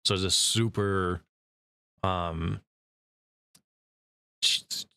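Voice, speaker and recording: A man hosting a casual interview with a voice actor, relaxed and quick to laugh. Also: deep voice, gravelly, clear, resonant tone, no room sound. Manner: relaxed, with easy laughs and "yeah" backchannels. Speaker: interview host.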